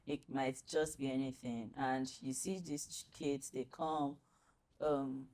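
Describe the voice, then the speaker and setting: A woman doctor with a deep voice, talking on a panel and sharing a personal story in a storytelling manner. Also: level tone. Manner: warm storytelling, quick to laugh. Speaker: pediatric doctor and guest. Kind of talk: panel chat with personal anecdote.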